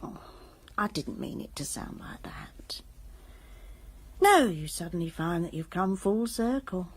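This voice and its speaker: A woman's voice: on the low side, animated, normal speed. An actress playing a disillusioned middle-aged mother with a British accent in a radio drama, speaking in a reflective, candid way. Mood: weary, reflective. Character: disillusioned middle-aged mother, weary of long marriage, protective.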